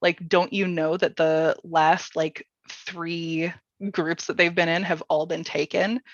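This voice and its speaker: Creaky low-voiced woman, halting and casual. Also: little pitch movement. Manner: halting, casual phrasing heavy with "like". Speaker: workshop panelist. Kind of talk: casual panel chat about tabletop game storytelling. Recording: roomy echo, slightly dull sound.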